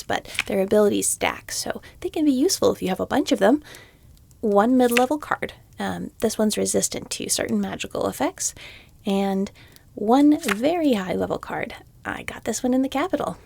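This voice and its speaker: Woman acting a creaky-voiced, exasperated fantasy shopkeeper woman. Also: on the high side, a little mellow, dry recording, full character voice. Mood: polite sales patter. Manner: dry, sardonic, muttering asides under the breath. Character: weary, exasperated female fantasy shopkeeper, reluctantly polite. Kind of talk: scripted fantasy audio roleplay.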